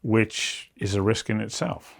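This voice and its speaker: A man's voice: fairly low pitch, expressive, a little slow, creaky. A man hosting an interview, asking curious, prompting questions about his guest's career. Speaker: interview host. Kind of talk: conversational interview.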